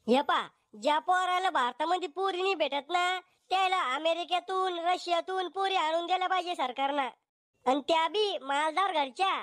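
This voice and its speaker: A comedy cartoon bachelor, young, whiny and desperate for a wife, acted by a man. The voice is extremely high and pleads petulantly, recorded close and dry. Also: moderate pace, a bit bright, no room sound. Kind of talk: Marathi comedy cartoon acting.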